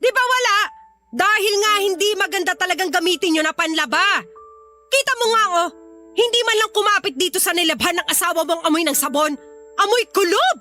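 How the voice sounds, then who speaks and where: Shrill, nagging mother-in-law. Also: lively intonation, fairly quick, sharp tone, faint music behind, full character voice. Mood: irritated, scolding. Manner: scolding, complaining. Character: fussy, nagging, domineering widowed mother-in-law. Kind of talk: Filipino radio drama acting.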